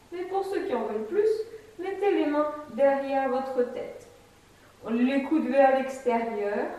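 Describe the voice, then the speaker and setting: High-voiced woman coaching in an echoey room. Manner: calm, step-by-step cueing while demonstrating. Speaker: fitness instructor. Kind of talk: guided chair exercise class. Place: bright empty room with wooden floor. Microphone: camera mic from a distance. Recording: echoey room, voice far from mic.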